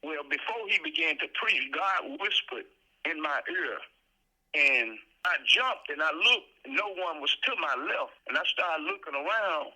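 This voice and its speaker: A man's voice: on the high side, wildly swooping pitch, unhurried, creaky, pushed and bright, rough recording. A man from the Memphis area, telling his personal testimony as a guest, earnestly and with frequent repeated words as he gathers his thoughts. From Memphis area, southern United States.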